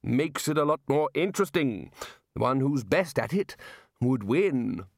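A man's voice: medium pitch, big pitch swings, unhurried, creaky, no room sound, clean studio sound, exaggerated voice. A man voicing a bluff, blunt older male head wizard who speaks matter-of-factly.

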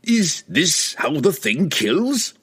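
A man's voice: medium pitch, huge pitch swings, slow pace, pitched above the performer's own voice, full character voice. A man voicing a cruel, imperious guildmaster villain in a fantasy audiobook, theatrical and commanding, with menace in his delivery. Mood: outraged. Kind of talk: fantasy audiobook character acting.

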